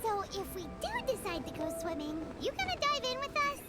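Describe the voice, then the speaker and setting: A woman voicing a tiny, chirpy and curious floating fairy girl companion in a game, with a squeaky, bouncy way of exclaiming things. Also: very animated, brisk. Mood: excited. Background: game music.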